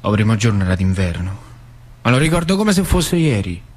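An actor playing an excitable working-class factory worker, telling his story in a central Italian accent with repeated phrases for emphasis. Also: fairly low pitch, a little fast, loud music behind the voice. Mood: wry, nostalgic. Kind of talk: theatrical monologue in dialect.

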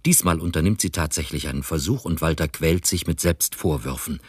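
A man with an extremely low, husky voice narrates a crime story. He reads in a measured, dramatic way, recorded close and dry. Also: no echo. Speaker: radio play narrator.

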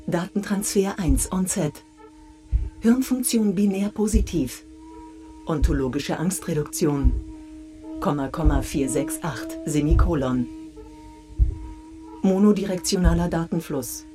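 An actress playing a cold female machine voice that announces procedures in flat, clipped lines, with music behind. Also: on the low side, measured pace, long pauses, natural voice. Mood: announcing.

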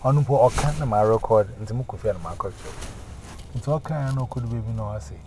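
A man's voice: medium pitch, lively intonation, brisk. Ghanaian man preaching over street noise. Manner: emphatic, repeats words in chanting runs. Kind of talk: preaching testimony. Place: outdoors on a busy street. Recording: noisy street recording.

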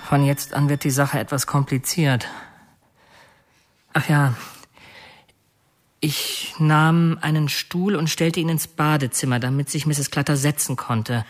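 An actor playing a man who confesses to a burglary turned killing, recounting it in measured, matter-of-fact detail in an audio drama. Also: a little high, steady tone. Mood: grim recollection. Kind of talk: audio drama monologue, confession under questioning.

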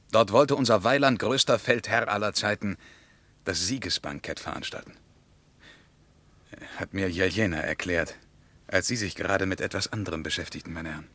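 An actor playing a reflective, wry German war veteran in a radio drama. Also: male voice, fairly low pitch, fairly bright tone, no echo, lightly acted.

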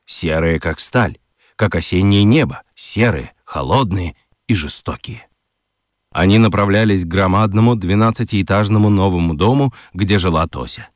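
Мужчина-рассказчик детского радиоспектакля читает выразительно, с драматическими паузами. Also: male voice, sits low, dry recording, clean studio sound. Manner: deliberate, dramatic pauses. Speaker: narrator. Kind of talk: expressive scripted storytelling for children's radio play.

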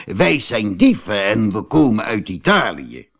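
A tall, thin gentleman thief from a storybook as a man acts him out. He is courteous and theatrical, cheerfully naughty, with a slow and animated delivery. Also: lowish voice, creaky, heavily acted. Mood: polite. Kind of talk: storybook character voice.

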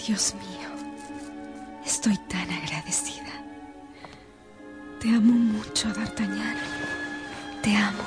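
Slow, breathy young sweetheart played by a woman. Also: mid-range voice, steady tone, music in the background, lightly acted. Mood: loving, grateful. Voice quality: breathy, hushed. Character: tender, devoted young sweetheart. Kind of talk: Spanish-language radio drama acting.

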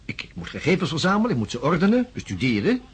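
An actor playing a measured, polite male psychiatrist in a radio drama, speaking deliberately and reflectively. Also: on the high side, huge pitch swings, breathy edge, heavily acted. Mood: polite, cautious. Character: measured, open-minded male psychiatrist investigating a case. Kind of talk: radio drama acting and first-person narration.

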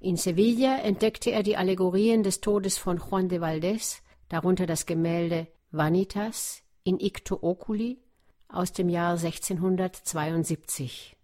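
Low, mellow woman narrator. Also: steady tone, unhurried. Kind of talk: scripted documentary narration.

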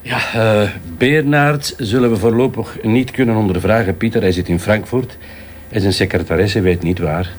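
A man's voice: lowish voice, normal speed, slight background noise, close to an everyday voice. Methodical Flemish male detective played by an actor. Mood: matter-of-fact. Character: methodical, cautious male police detective, loyal partner. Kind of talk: naturalistic television crime drama acting.

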